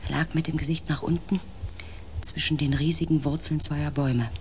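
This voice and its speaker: A male actor playing an anxious member of a space expedition in a radio play, telling the story in a suspenseful, hushed manner. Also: on the high side, little pitch movement, normal speed, a little hiss or hum, natural voice. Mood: eerie, hushed. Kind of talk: radio play acting with first-person narration.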